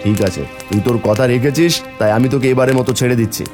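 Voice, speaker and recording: A man's voice: medium pitch, rapid-fire, darkish tone, soft background music, lightly acted. A man playing a suspicious, hot-tempered village husband in a folk-tale cartoon, with a dramatic, emphatic delivery. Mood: stern.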